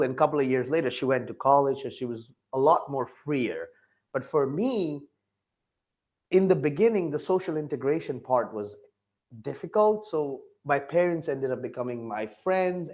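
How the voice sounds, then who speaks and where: A man's voice: fairly high pitch, darkish tone. A man in his thirties from Nepal, with a Nepali accent, answering as an interview guest in an easygoing way, often with "you know" and "like".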